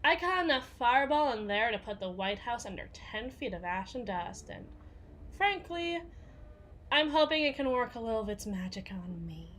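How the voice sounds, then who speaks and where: A woman's voice: fairly high pitch, animated, moderate pace, a bit bright. A woman playing a tough, foul-mouthed, guarded bodyguard for a villain in an ASMR roleplay, with a sardonic, slangy delivery. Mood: sardonic, weary. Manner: sardonic, swearing, casual slangy drawl. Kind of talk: ASMR roleplay, acted monologue.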